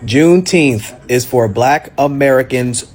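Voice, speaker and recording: A man gives his opinion straight to viewers at a slow pace. His voice is of medium pitch and he is emphatic, leaning on short repeated phrases. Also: a little mellow. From Haitian background. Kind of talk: opinion monologue to camera.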